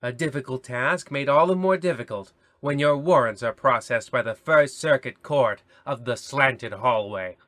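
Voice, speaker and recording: A man narrating an old-radio style horror parody as its host, mock-solemn and deadpan, with rambling asides. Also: medium pitch, moderate pace, few pauses. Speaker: narrator and host of a comedy radio play series.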